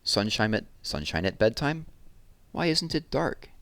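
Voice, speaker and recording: A man's voice: mid-range voice, very animated, unhurried, vocal fry, a bit bright, no echo. A man hosting a read-aloud, reading children's poems expressively and explaining things simply to young listeners.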